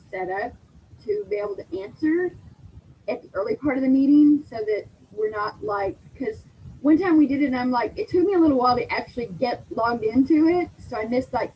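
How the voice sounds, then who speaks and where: A woman in an online club meeting, eagerly making suggestions in a loose, chatty way. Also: high-pitched. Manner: enthusiastic, suggests ideas with lots of "like". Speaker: sergeant at arms. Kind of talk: casual online meeting chat. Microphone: video call. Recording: dull audio with faint background hiss.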